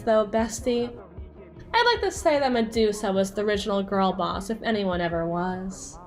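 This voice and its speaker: Woman voicing a flirty jealous yandere bestie for asmr roleplay, high voice that swings all over, sassy n teasing. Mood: teasing, coaxing. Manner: teasing, sassy, theatrical, drawn-out emphasis. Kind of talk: ASMR roleplay monologue addressed to the listener.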